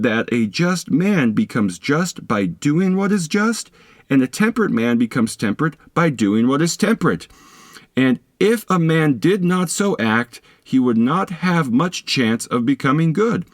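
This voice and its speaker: Male narrator for philosophy audiobook; deliberate, instructive phrasing with animated pitch movement, very dry sound. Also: no echo. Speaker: audiobook reader. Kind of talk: scripted reading of a philosophy text.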